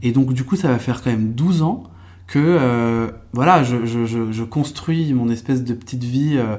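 A young man from France, a podcast guest, telling his life story in a relaxed, reflective way with lots of fillers and restarts. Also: medium pitch, unhurried. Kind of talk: casual conversational storytelling.

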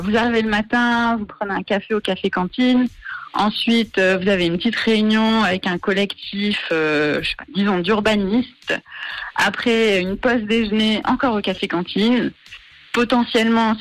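A woman's voice: medium pitch, no room sound, poor audio. A woman from Marseille, a guest on a radio show, talking about her project with many hesitations and fillers. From Marseille, France. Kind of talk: radio interview.

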